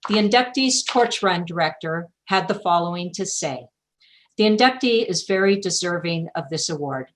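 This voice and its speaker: A woman with a slightly low voice reads a formal award citation over a video call. Her delivery is measured and scripted, as a ceremony presenter. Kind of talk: formal scripted speech, read aloud. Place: video call from an indoor room. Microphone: video call microphone.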